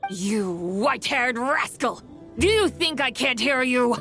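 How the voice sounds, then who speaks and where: A woman's voice: a little high, expressive, unhurried, sharp tone, faint music behind, full character voice. A woman voicing a proud, eccentric female immortal in a video game, with haughty, theatrical, old-fashioned phrasing. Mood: indignant.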